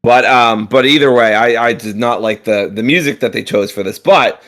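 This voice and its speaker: A man's voice: mid-range voice, moderate pace, a bit bright. A man co-hosting a gaming podcast, chatting casually and rambling with frequent fillers, restarts and casual swearing. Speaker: podcast co-host. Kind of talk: casual chat.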